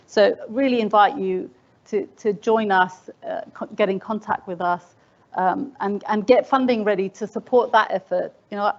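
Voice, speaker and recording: A woman's voice: medium pitch, muffled recording. Earnest woman speaking at a conference. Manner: earnest, halting, with restarts. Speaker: panel speaker. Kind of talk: conference remarks. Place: conference hall.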